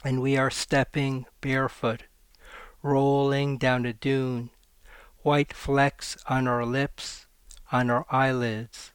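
A man, a poet, reading his poems aloud in a slow, measured way and talking about his work. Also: mid-range voice, level tone, clean tone, no room sound. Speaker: poet guest. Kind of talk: poetry reading.

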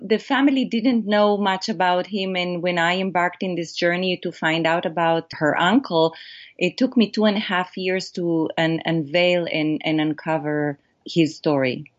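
A woman with an Israeli accent, a documentary filmmaker answering interview questions in earnest, animated storytelling. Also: on the low side, measured pace, dull audio.

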